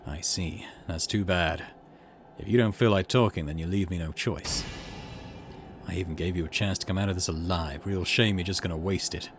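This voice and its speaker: A man's voice: deep voice, normal speed, background noise, voice pushed down, lightly acted. A man voicing a menacing, condescending curse-wielding villain from a visual novel, in a cold, taunting manner. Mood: coldly threatening. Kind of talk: reading visual novel dialogue in character.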